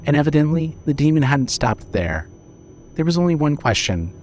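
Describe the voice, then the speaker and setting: A man's voice: on the high side, very animated, normal speed, a little mellow, slight background noise. A man reading scripted horror fiction as the narrator of an audio drama.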